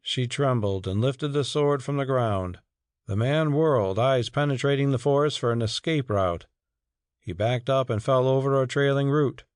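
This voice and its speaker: A man's voice: medium pitch, normal speed, smooth, no echo, clean studio sound. A man narrating a story as a scripted, dramatic audiobook reading.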